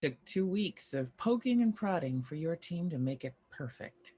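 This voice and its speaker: A woman playing a bitter, sharp-tongued former child star in a drama table read. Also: deep voice, lively intonation, normal speed, husky. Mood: dry.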